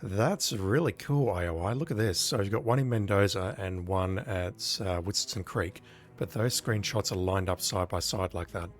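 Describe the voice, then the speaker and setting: A man with a croaky voice from illness, chatting casually as he streams a game. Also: sits low, normal speed, soft background music. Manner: rambling, chatty, apologetic. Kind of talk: casual gameplay commentary.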